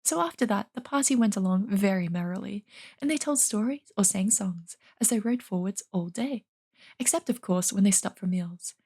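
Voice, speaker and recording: A woman's voice: mid-range voice, lively intonation, moderate pace, darkish tone, husky. A woman reading a storybook aloud as an informal narrator. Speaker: audiobook reader, streamer.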